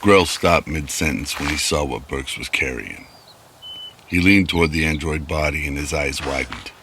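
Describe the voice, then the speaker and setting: A man's voice: very deep voice, moderate pace, noisy background. A man reading scripted narration for an old-style radio drama.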